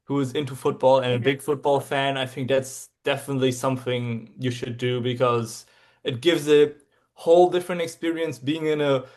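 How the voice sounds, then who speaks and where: A man's voice: mid-range voice, little pitch movement, moderate pace, darkish tone. Young berlin guy, keeps restarting his sentences, upbeat travel chat. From Germany, Berlin. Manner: enthusiastic, many restarts and fillers. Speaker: interview guest, traveler. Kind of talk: casual interview answers.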